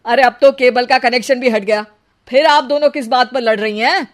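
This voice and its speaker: A woman's voice: fairly high pitch, fairly quick, voice pushed down, close to an everyday voice. Woman acting a weary young cartoon husband. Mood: exasperated. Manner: pleading, mildly exasperated. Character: weary young husband caught between wife and mother. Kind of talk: Hindi cartoon family drama.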